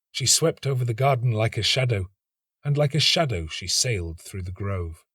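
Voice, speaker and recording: A male storyteller narrates literary fiction in a measured way. His voice is slightly husky, with lively, expressive movement in pitch. The recording is close and dry. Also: dry recording. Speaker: story reader, actor.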